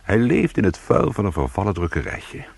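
An actor playing a world-weary, streetwise crook in a radio crime drama, with a husky, gravelly voice and slangy, hard-boiled delivery. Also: male voice, fairly low pitch, big pitch swings, normal speed, a little mellow, a little hiss or hum. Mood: musing. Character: world-weary, streetwise male crook telling his own story. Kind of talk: radio crime drama, first-person narration and dialogue.

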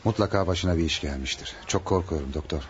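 Actor as a deep-voiced anxious male patient. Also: quick pace, close to an everyday voice. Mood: anxious, subdued. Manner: worried, subdued. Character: anxious, weary male patient worried for his wife. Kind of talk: Turkish radio play acting.

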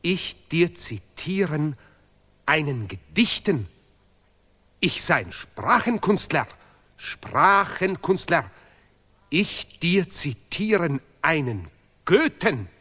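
A vain, ceremonious artist in an experimental radio play. He declaims slowly in broken German, with a very lively rise and fall. Every phrase sounds pompous and stylised. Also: on the high side, husky, exaggerated voice. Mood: solemn reciting. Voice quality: deliberately broken, ungrammatical German. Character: grandiose self-praising great artist and Nobel laureate.

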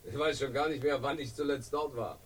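A man plays a suave crime boss in an old radio thriller, feigning innocence. The husky, medium-pitched voice is picked up at a distance. His manner is urbane, ironic and smoothly evasive. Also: brisk, natural voice. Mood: guarded, urbane. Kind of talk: 1960s German radio crime drama acting.

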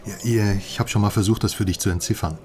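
A man's voice: fairly low pitch, fairly even intonation, fast talker, darkish tone, lightly acted. An actor playing a chatty, eager sidekick in a radio crime drama, colloquial and proud of what he has found out. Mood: eager, gossipy.

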